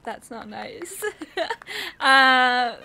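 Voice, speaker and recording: Chatty Egyptian woman gaming, slow-paced. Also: high-pitched, animated, gravelly, sharp tone. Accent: Egyptian Arabic. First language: Arabic. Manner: chatty, spontaneous, giggly. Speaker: gaming YouTuber. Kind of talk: casual gameplay commentary. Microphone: headset.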